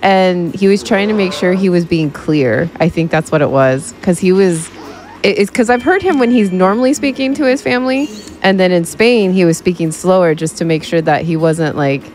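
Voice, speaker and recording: A woman's voice: on the low side, expressive, fairly quick, darkish tone. A woman chatting casually as a commentator, rambling along with lots of filler words. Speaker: speedrun commentator. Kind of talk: casual chat.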